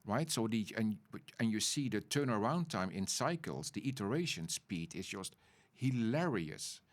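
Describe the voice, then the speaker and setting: A middle-aged man, co-hosting an informal podcast and talking in halting bursts full of restarts and tag questions. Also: fairly low pitch, big pitch swings. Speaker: podcast co-host. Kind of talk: informal unscripted conversation.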